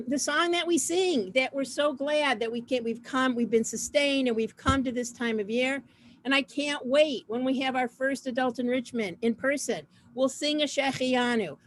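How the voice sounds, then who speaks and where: A woman casually hosting an online community gathering over a video call, chatting informally in a halting way with trailing asides. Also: fairly high pitch, no echo. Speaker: host of an online community program. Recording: noisy video call audio.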